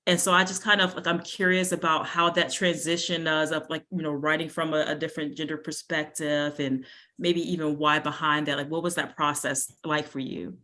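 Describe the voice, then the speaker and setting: A woman's voice: low voice, level tone, brisk, sharp tone. A woman author interviewing a fellow writer, friendly and enthusiastic, with casual fillers. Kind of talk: casual conversation.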